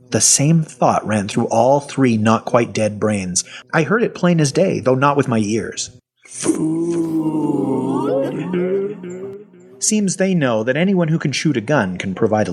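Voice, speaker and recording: A man playing a sardonic, folksy half-zombie survivor telling his story in an audio drama. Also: lowish voice. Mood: wry, reflective. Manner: folksy, colloquial, wry. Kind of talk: first-person audio fiction narration with acted dialogue.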